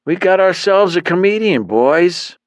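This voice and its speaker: A man's voice: sits high, lively intonation, measured pace, no echo, pitched above the performer's own voice. Brief: man playing a swaggering, dim gang leader, threatening but easily sidetracked, in an audiobook. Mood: taunting. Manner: cocky, slangy, menacing. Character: swaggering male thug leader, chewing toothpick, dim but menacing.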